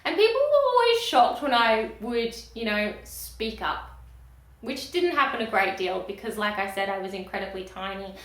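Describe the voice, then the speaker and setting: A woman giving outspoken, emphatic commentary in a video blog, talking loudly and firmly about what she stands for. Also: mid-range voice, sing-song, moderate pace, echoey room. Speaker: video creator. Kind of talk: opinionated commentary vlog.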